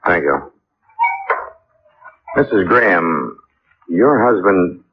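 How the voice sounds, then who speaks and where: An actor playing a gruff but decent police captain in a vintage radio drama, plain and subdued. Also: male voice, low-pitched, animated, very slow, full character voice. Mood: awkward, apologetic. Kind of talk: 1950s radio drama acting. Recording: tinny, narrow old radio broadcast.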